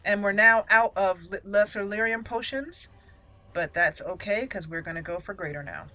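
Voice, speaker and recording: A woman's voice: mid-range voice, moderate pace, bright, forceful tone. A woman hosting a gaming channel, giving casual play-by-play and thinking aloud as she narrates each move. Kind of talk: casual gameplay commentary. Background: game music.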